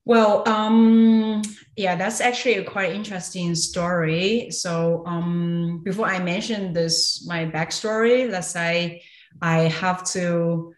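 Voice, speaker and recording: A woman from China, in her thirties, answering podcast questions hesitantly with many pauses and light laughs. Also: fairly low pitch, fairly even intonation, echoey room. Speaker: guest entrepreneur.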